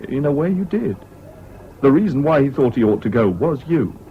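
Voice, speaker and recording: An actor playing a sober British army major who also narrates a 1970s radio drama, frank and dry in manner. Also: male voice, medium pitch, big pitch swings, normal speed, natural voice. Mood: reproachful. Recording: dull, noisy old radio recording.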